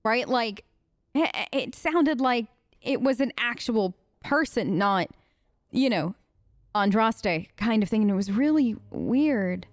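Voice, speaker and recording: A woman's voice: a little high, animated, takes it slowly, no room sound. A young woman giving chatty, playful role-play commentary while playing a game, close on the mic. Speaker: let's play host.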